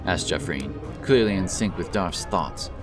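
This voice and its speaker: A man's voice: deep voice, lively intonation, measured pace, distant mic. A man giving a dramatic scripted narration of a fantasy story. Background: loud epic background music.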